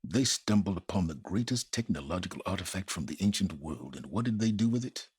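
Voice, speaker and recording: Casting: calculating older male scholar for a game; very raspy, low, pompous, condescending, expressive delivery. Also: dry recording, full character voice. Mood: measured, superior. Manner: pompous, condescending, deliberate, formal wording. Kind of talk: video game dramatic acting.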